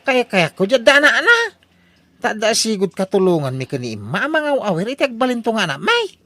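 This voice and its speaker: An actor playing an eager, scheming husband in an Ilocano comedy radio drama, with an exaggerated delivery. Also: male voice, high voice, wildly swooping pitch, a little fast, sharp tone, no room sound, full character voice. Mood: pleading.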